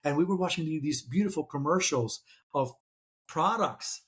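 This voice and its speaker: A man's voice: on the high side, animated, normal speed, a bit bright. A middle-aged man who grew up in Czechoslovakia, a Czech native speaker, talking as an interview guest with frequent restarts and fillers. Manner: hesitant, many restarts and fillers. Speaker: author and guest. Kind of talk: conversational interview.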